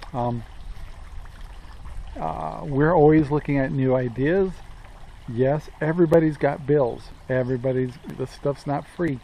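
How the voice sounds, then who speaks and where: A man's voice: medium pitch, creaky, mellow, a little hiss or hum. A man in his sixties talking casually to camera outdoors in his yard, rambling with many ums and asking and answering his own questions. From Central Oregon, USA. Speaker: homestead vlogger. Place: outdoors in a sunny yard with trees. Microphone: camera close in front, selfie framing.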